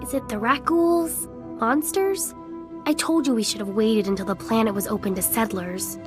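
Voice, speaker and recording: Actress doing worried settler sister, high female voice, earnest, game dialogue w/ music. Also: moderate pace, lightly acted. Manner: conciliatory, worried. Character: earnest, anxious young woman settler, her brother's apologetic sister. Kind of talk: dramatic scripted video game dialogue.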